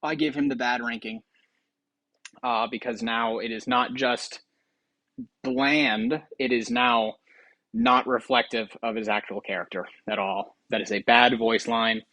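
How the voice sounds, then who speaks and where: A man gives casual gaming commentary as a video host. He sounds close and dry with a mid-range voice, hesitating and rambling as he thinks out loud. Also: medium pitch, fairly even intonation, a little slow, dry recording, rough recording.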